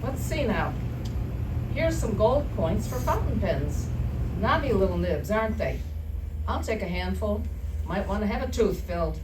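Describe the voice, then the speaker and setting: A woman's voice: mid-range voice, animated, unhurried, distant mic, close to an everyday voice. A woman playing a rambling, blustering male swindler in an old-time radio comedy, repeating phrases and muttering dry quips. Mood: absentminded. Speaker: amateur theater troupe actor. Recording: very noisy, echoey stage recording from afar.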